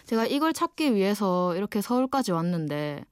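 A woman's voice: mid-range voice, big pitch swings, moderate pace, smooth, no echo, studio quality. A twenty-year-old woman with a Gyeongsang accent from Ulsan, hosting a late-night radio show as a candid, reflective personal monologue. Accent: Gyeongsang (Ulsan) Korean. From Ulsan, South Korea. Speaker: guest late-night radio DJ, design student.

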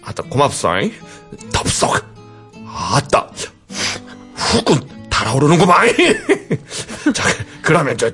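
A man's voice: fairly high pitch, wildly swooping pitch, slow, a bit bright, faint music behind, full character voice. A man playing an eager, shy new bridegroom in a radio skit, with a broad Jeolla dialect and a hesitant, stammering, folksy manner. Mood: bashful, excited. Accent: exaggerated southwestern Korean (Jeolla) dialect.

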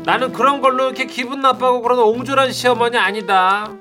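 A woman's voice: a little high, fairly even intonation, fast talker, music in the background, lightly acted. A woman playing a proud elderly mother-in-law and grandmother in a radio skit, sighing and passive-aggressive. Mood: wounded sarcasm. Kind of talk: acted radio letter dramatization.

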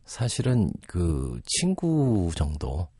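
Low, lively middle-aged man, slightly husky. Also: takes it slowly, no echo, clean studio sound. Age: 40s or older. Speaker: psychiatrist guest. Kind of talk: conversational radio interview.

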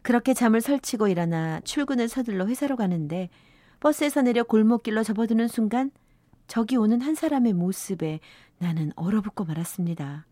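A woman's voice: fairly high pitch, expressive, a little fast, warm tone, no echo, lightly acted. A woman playing a wistful narrator in her fifties, telling a sentimental first-love story for a radio drama. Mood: wistful, reflective. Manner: sentimental, reflective.

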